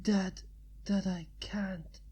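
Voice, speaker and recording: Woman doing weary injured man, fantasy storybook figure, polite, weak, hesitant, mellow voice. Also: medium pitch, fairly even intonation, breathy edge. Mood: pained, fading. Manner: weak, halting, courteous. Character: weary wounded man, polite stranger mage.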